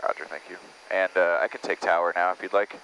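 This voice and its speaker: A man's voice: fairly even intonation, fast talker. A man speaking as a pilot over aviation radio in an emergency, in informal but procedural phrasing. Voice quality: low-pitched. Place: small airplane cockpit in flight. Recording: clear engine-cockpit and radio noise. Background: vehicle noise, radio clicks.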